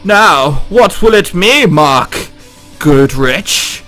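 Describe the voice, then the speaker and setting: A man voicing a greedy, gloating evil villain for a visual novel in a put-on German-Dutch accent, taunting in a drawn-out, theatrical way. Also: on the high side, lively intonation, pushed and bright, soft background music, heavily acted. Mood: menacing. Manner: taunting, drawn-out, ominous laughing.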